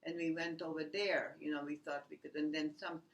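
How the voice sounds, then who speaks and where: A woman, recalling her life in a halting oral history interview, full of restarts and fillers. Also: sits low, flat delivery, dull audio. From Latvia. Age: 80s. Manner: halting, many restarts and "you know" fillers. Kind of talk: rambling personal recollection.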